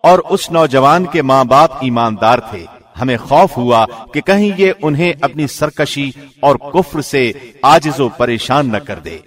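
A man reading a scripture translation in a formal, measured radio presenter's delivery. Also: mid-range voice, animated, a bit bright. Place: studio.

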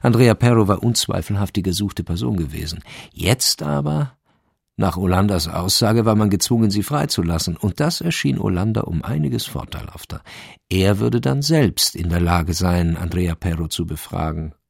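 Wry male narrator, radio crime drama, low pitch, creaky voice, measured, ironic. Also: expressive, no room sound, studio quality, heavily acted. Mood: dry, measured.

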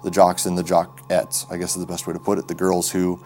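A man's voice: low voice, completely flat delivery, brisk, darkish tone, faint music behind. An American man recollecting his school days as an interviewee.

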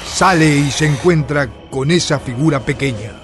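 A man narrating a radio drama with solemn, theatrical, measured phrasing. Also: fairly high pitch. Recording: studio radio drama with music underneath.

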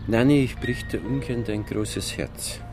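An actor playing an older, wryly crude Bavarian kiosk regular with a gravelly voice in a radio drama. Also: male voice, fairly low pitch, unhurried, over music. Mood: indignant grumbling. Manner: wry, bantering, crude. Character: grumbling, joking older male regular customer at a kiosk. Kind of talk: radio drama acting in dialect.

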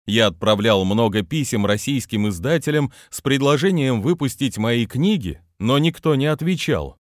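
A man's voice: mid-range voice, expressive, moderate pace, no room sound, studio quality. A man, a business trainer, reading his own motivational book aloud with non-native pronunciation and an earnest manner.

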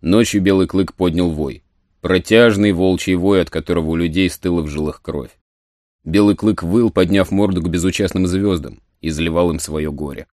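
A man's voice: sits low, darkish tone, dry recording, clean studio sound. A man narrating a story as a scripted dramatic audiobook. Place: studio.

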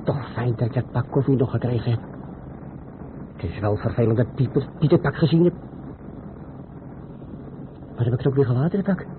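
An actor playing a reckless truck driver in a radio play. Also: male voice, mid-range voice, normal speed, pauses often, close to an everyday voice. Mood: cocky, carefree. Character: reckless younger truck driver talking to himself, turning panicked. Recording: muffled noisy old radio play recording.

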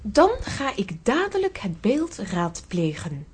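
A woman's voice: medium pitch, huge pitch swings, slow, slightly rough, exaggerated voice. A woman playing an earnest young princess in a children's audio drama, formal and pleading. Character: earnest young princess awaiting her throne.

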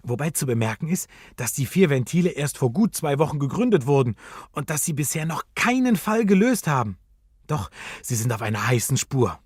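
A man's voice: fairly high pitch, very animated, brisk, a little mellow, husky, no echo, clean studio sound. A man narrating a children's detective radio play in a suspenseful, dramatic storytelling manner.

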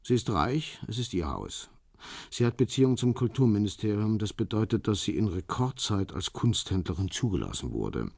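A shrewd Russian operative in a radio crime play. His voice is low and gravelly with an animated melody, and he stays formal, courteous and businesslike as he briefs his client. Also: normal speed, dry recording, full character voice. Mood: businesslike briefing. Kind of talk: radio crime drama acting.

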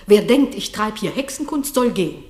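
A woman playing a commanding noblewoman in a classic radio drama, declaiming verse in a solemn, measured way. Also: medium pitch, animated, a little mellow, roomy sound, natural voice. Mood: challenging. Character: commanding noblewoman bringing a stone queen to life.

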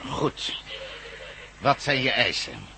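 A man's voice: mid-range voice, huge pitch swings, slow, vocal fry, crowd noise, heavily acted, rough recording. A male actor playing a stern police commissioner under pressure in a radio drama, firm and insistent. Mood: tense, insistent.

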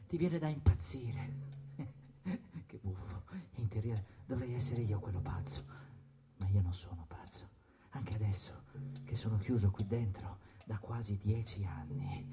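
A weary, confined patient played by a man in a radio drama. The mid-pitched voice is intimate and halting, repeating phrases and dropping toward whispers as it insists on being sane. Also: natural voice. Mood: tender, pleading. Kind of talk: theatrical radio monologue.

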